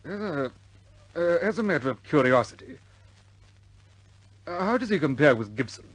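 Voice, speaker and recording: An actor playing a concerned senior air force commander in a radio war drama, reserved and halting but quietly authoritative. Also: male voice, fairly high pitch, very animated, husky, no room sound, poor audio. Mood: probing. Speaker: commanding officer.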